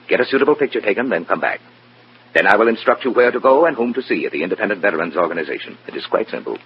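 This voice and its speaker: An actor playing a stern, contemptuous Communist Party boss with clipped, formal, imperious speech in an old radio drama. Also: male voice, fairly low pitch, animated, rapid-fire. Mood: cold, authoritative. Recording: dull, noisy, humming old radio recording.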